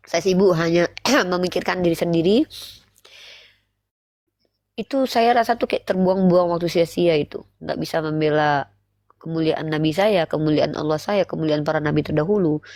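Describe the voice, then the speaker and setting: A woman in her thirties with a fairly low voice. She speaks as the host of an online religious debate, instructing and questioning her listeners directly. The sound is dry, with hardly any room on it. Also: a little mellow, dry recording. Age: 30s. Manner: didactic, addresses listeners with rhetorical questions. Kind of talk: live online religious debate and preaching talk.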